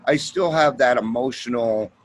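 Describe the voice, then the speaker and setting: A man's voice: medium pitch, moderate pace. A man hosting a recovery talk show, speaking in a heartfelt, conversational way. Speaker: podcast host. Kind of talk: casual interview chat.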